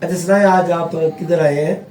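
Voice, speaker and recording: A man's voice: a little high, steady tone, moderate pace, a little mellow. A Pakistani man hosting a radio interview in a courteous, formal manner. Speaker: radio host interviewing a guest. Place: radio studio. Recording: roomy echo, faint background noise.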